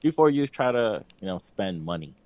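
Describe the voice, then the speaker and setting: A man's voice: lowish voice, big pitch swings, normal speed, muffled recording. A man co-hosting a podcast, chatting casually and joking in a laid-back way, often filling with "like". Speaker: podcast co-host. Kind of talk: casual banter.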